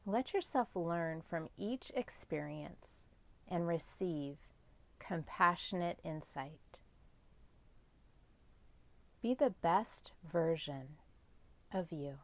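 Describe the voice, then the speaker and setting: A woman guiding a meditation as a yoga nidra teacher, speaking in gentle, measured instructions with long pauses. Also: lowish voice, lively intonation. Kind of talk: guided meditation.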